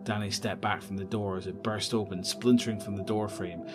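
Low-voiced male horror narrator over music. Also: fairly even intonation. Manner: measured, descriptive storytelling. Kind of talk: scripted audio drama horror narration.

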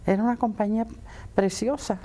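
A woman's voice: medium pitch, fairly even intonation, brisk, mellow. A woman recalling radio audiences conversationally in an interview. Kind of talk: conversational reminiscence.

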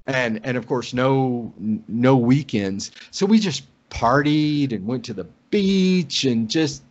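A man's voice: mid-range voice, lively intonation, slow pace, darkish tone. American man, dull lo-fi call audio. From Oklahoma, United States. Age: middle-aged. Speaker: podcast guest. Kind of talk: casual anecdotal storytelling. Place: indoor room on a video call.

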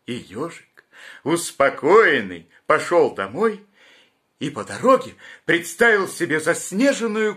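A man in his fifties, an actor, reading a fairy tale aloud in a slow, tender, expressive way from a small room at home. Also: mid-range voice. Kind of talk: storybook narration.

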